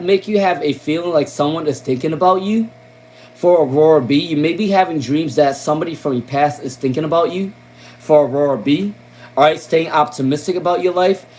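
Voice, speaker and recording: A man's voice: a little high, a little mellow. A man with a Long Island accent giving a casual live-stream tarot reading. He repeats names and questions often, says "um" a lot, and ends his points with "okay?" and "all right?". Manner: casual, chatty, lots of "um". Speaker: tarot reader.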